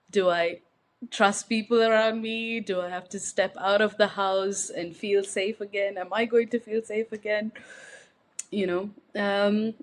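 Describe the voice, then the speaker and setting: A young woman from India, speaking as an interview guest in a reflective, hesitant way with frequent fillers and restarts. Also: mid-range voice. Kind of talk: personal interview conversation.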